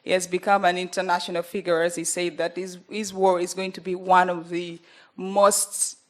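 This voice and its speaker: A young woman with a Ugandan accent, speaking earnestly at a panel, pausing often with fillers as she gathers her words. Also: on the low side, flat delivery. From northern Uganda. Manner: earnest, halting, many fillers. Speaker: journalist panelist. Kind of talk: panel talk.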